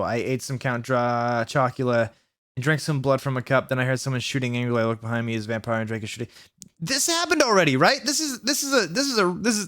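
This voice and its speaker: A man's voice: mid-range voice, a bit bright. A man hosting a comedic dramatic read-aloud, stumbling over words and breaking into exasperated asides, sounding mentally worn out. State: mentally worn out from long reading. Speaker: YouTube host reading fan fiction aloud.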